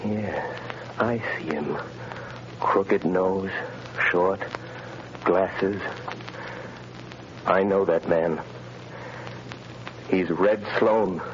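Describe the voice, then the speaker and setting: A man playing a mysterious invisible crime fighter, speaking in measured, authoritative commands in 1930s radio drama style. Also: sits low, lo-fi sound. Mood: intent. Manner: measured, hypnotic, authoritative instructions. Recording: scratchy, hissy 1930s radio broadcast.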